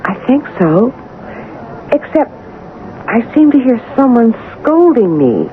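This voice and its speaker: A woman's voice: a little high, sing-song, slow. On a narrow, faintly hissy old radio recording, an uneasy, dreamy woman in a seventies radio drama. Her delivery is wondering and hesitant yet intense, as if drawn toward the unseen. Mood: uneasy, haunted. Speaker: actress playing a supporting lead. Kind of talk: 1970s radio drama acting.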